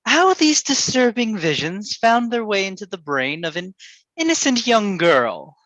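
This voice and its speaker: A woman's voice: mid-range voice, very animated, a little slow, fairly bright tone, no room sound, low-quality recording. A woman playing an arrogant, teasing male poet in a period drama reading, with a mocking, theatrical drawl. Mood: skeptical, mocking.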